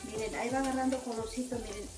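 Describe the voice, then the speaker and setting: High-voiced Mexican woman in an echoey room. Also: steady tone, fast, music in the background. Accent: Mexican Spanish. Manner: homely, uses many diminutives. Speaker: home cook presenting recipes. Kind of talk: casual cooking voice-over, step by step. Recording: roomy echoing home recording.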